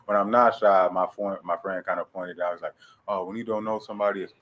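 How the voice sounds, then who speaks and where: Low-voiced laid-back young man. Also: fairly even intonation, quick pace, dull audio. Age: late 20s. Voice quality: naturally low voice. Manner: laid-back, hesitant, many fillers and restarts. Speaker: guest rapper. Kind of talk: casual interview chat.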